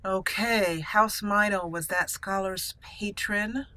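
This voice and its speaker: A woman's voice: mid-range voice, slow pace, bright, forceful tone. A woman doing let's play commentary, reading game dialogue in character in a theatrical way, with game music behind her. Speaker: gaming video host, roleplaying a character aloud. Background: video game music.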